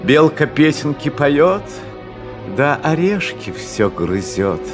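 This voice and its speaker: A man voicing a courteous, melancholy young prince in expressive, drawn-out fairy-tale verse. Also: fairly high pitch, over music, pitched above the performer's own voice. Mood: wistful.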